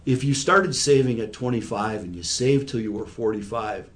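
A man's voice: mid-range voice, moderate pace. A man in his sixties giving patient, conversational explanations with everyday examples, as an expert guest in an interview. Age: 60s.

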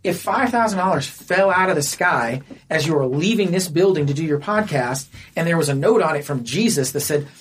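Higher-pitched man chatting on a podcast. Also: lively intonation, fairly quick, few pauses, a bit bright, slight background noise. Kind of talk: casual podcast debate.

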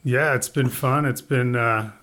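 A man's voice: mid-range voice, measured pace. Easygoing midwest guy chatting as a guest, lots of uhs, kinda rambly. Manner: relaxed, rambling, with fillers and laughs. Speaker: guest winemaker. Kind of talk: casual interview chat.

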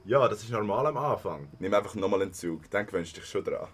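A man's voice: lowish voice, animated, fast, breathy edge. A man playing a laid-back, sociable young stoner in a contemporary radio play.

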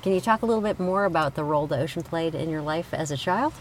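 A woman's voice: low voice, quick pace, a little hiss or hum, poor audio. A woman hosting an interview show, reading a scripted introduction and then asking questions in an earnest way, laughing often. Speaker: podcast host. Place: outdoors at a beach.

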